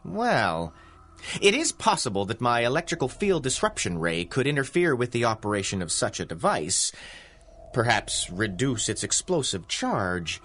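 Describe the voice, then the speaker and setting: An actor playing an arrogant, scornful criminal mastermind in a 1930s-style radio serial, with pompous, wordy, theatrical delivery. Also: male voice, medium pitch, very animated, normal speed, a bit bright. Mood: pondering.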